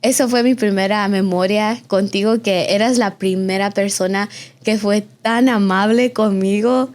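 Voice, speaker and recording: A woman's voice: medium pitch, fairly even intonation, a little slow, darkish tone. A woman in her early twenties from Austin, Texas, hosting a conversational interview podcast in an effusive manner, with the odd English filler word. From Austin, Texas, of a Mexican family. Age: early 20s. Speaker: podcast host.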